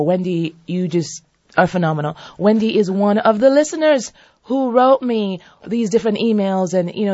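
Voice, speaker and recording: Woman radio talk host, husky, chatty, playful, dry and muffled studio sound. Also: fairly low pitch, a little mellow, no echo. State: husky voice from a seasonal cold. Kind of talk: lively radio talk show chat. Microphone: studio microphone.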